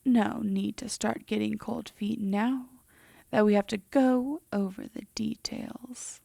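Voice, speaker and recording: A woman playing a composed, teasing female demon in an intimate roleplay audio drama, often slipping into a breathy half-whisper. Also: mid-range voice, fairly even intonation, takes it slowly, dark tone, dry recording, clean studio sound, exaggerated voice. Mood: calm, menacing, teasing.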